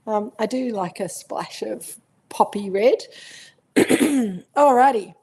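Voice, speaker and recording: A middle-aged Australian woman with a croaky voice, chatting casually as she hosts a live virtual wine tasting and greets viewers by name. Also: fairly high pitch, slow, warm tone. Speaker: winemaker hosting a virtual tasting. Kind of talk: casual livestream chat.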